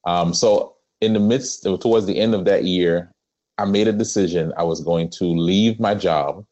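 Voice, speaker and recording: A man with a Trinidadian accent, a pastor telling his life story as a podcast guest, with many pauses. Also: low-pitched, a little mellow, lo-fi sound. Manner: earnest storytelling with frequent "um" pauses. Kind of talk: conversational interview.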